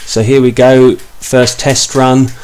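A man's voice: mid-range voice, little pitch movement, slow pace, background noise. A man in his thirties giving an informal, step-by-step repair commentary as a hobbyist, explaining things a little hesitantly.

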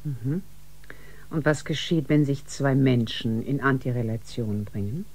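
An actress playing a calm, humane, matter-of-fact ship's doctor in a sci-fi radio drama. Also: female voice, a bass voice, unhurried, exaggerated voice, rough recording. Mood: guarded.

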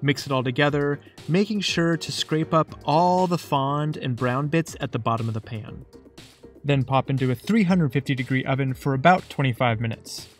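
A man's voice: mid-range voice, normal speed, soft background music. A man narrating a cooking lesson in a scripted voice-over with a playful, jokey tone. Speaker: cooking show host.

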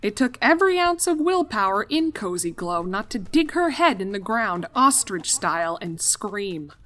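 A woman's voice: mid-range voice, very animated, normal speed, sharp tone. A young adult woman reading scripted story narration as the narrator of a fan fiction.

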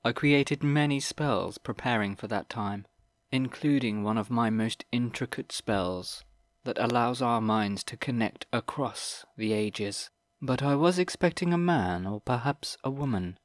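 A man's voice: medium pitch, dry recording, studio quality, lightly acted. A man doing an ancient, grave wizard. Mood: measured. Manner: slow, deliberate and formal. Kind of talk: audiobook character reading.

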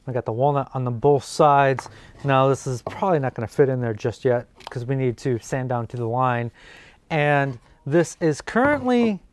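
A man's voice: fairly high pitch, dry recording. Man explaining woodworking in his workshop. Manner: relaxed, thinking aloud while working. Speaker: woodworking channel host. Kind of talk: casual workshop how-to narration. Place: indoor home workshop.

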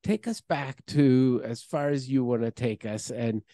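A man's voice: medium pitch, unhurried, warm tone, no room sound, clean studio sound. A middle-aged man hosting an interview over a video call, reading formal, enthusiastic introductions into a headset mic. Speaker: interview host. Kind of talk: scripted intro then interview questions. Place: office with branded backdrop. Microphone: headset with boom mic on a video call.

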